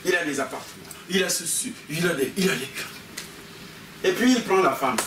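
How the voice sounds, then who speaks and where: Echoey Cameroonian male preacher, fairly high-pitched. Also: normal speed. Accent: Cameroonian French. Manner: repeats phrases and calls for amens from the congregation. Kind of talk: animated sermon with personal anecdotes. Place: church meeting hall with a congregation. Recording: echoey hall, distant mic, congregation noise.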